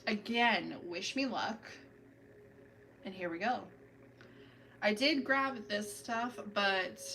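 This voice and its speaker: A woman's voice: medium pitch, gravelly, a bit bright, recorded from a distance, slight background noise, poor audio. A woman hosting a casual livestream, chatting with viewers while she paints her nails and talks through each step. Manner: chatty, self-narrating, talks to chat while working. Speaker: livestream host doing nail art. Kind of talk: casual chat with live viewers. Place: home, doing a manicure.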